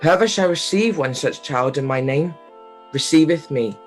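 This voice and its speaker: A man's voice: on the high side, animated, normal speed, faint music behind, lightly acted. Solemn, swooping young son reading aloud, played by a man. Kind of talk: radio play reading aloud.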